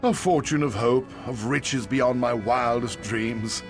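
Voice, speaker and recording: A man voicing a weary, kindly old man in a fantasy game, speaking in a measured, reflective way. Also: mid-range voice, soft background music. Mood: wistful. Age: older. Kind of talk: AI-voiced fantasy game dialogue.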